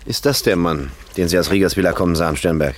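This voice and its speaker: An actor playing a wary police detective in a 1960s radio play, terse, sceptical and questioning. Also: male voice, sits low, fast talker, slight background noise, close to an everyday voice. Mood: probing.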